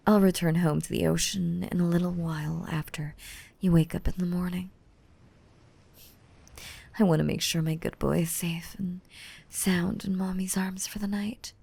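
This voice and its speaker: A woman voicing a gentle, motherly young mermaid in an intimate, softly whispered ASMR roleplay, soothing and tender with pet names. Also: fairly low pitch, full character voice. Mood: soothing, hushed. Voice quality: soft, breathy, often whispering. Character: gentle, motherly five-hundred-year-old mermaid, still young, softly dominant.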